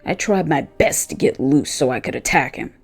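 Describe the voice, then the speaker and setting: A narrator voicing a loyal pet dog who tells his own story of wanting revenge, in deliberate, dramatic scripted storytelling. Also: mid-range voice, expressive, gravelly, soft background music. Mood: angry, vengeful.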